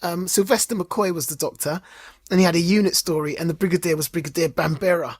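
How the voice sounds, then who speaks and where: A husky-voiced man chats as a podcast co-host. His delivery is informal, playful and excitable, like a fan bantering with a friend. The recording is close and dry. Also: on the high side, fast, no room sound. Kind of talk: casual chat, fan banter.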